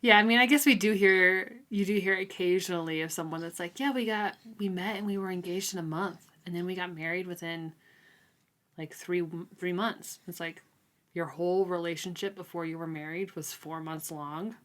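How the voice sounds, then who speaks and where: Woman chatting about a book on a podcast, lowish voice, informal, lots of likes, playful asides. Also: steady tone, moderate pace, fairly bright tone. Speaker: co-host of a book read-along podcast. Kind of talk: casual chat, recapping a novel.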